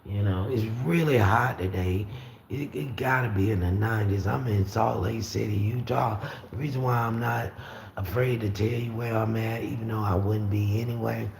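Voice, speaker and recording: Raspy low-voiced man in an echoey room. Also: fairly even intonation, normal speed, darkish tone. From Florida. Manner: rambling, easygoing, frequent fillers and restarts. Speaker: livestream host. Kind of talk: casual live chat, reading out viewer comments. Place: room at home with the windows open. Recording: roomy echo with faint street noise.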